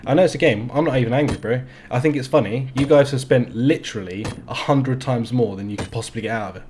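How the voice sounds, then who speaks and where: Laid-back London gamer in a headset. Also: male voice, mid-range voice, a little mellow, slight background noise. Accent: London English. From England. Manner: laid-back, joking, drawn-out words. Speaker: streamer. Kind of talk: casual in-game voice chat. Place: small home streaming room.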